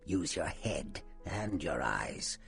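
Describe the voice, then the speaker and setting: A man playing a sly old vampire, a faded film star turned informant in a video game, with a whispery rasp and a theatrical, sarcastic drawl. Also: rumbling low, big pitch swings, slow, fairly bright tone, soft background music, exaggerated voice. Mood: sly, warning. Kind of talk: video game character acting.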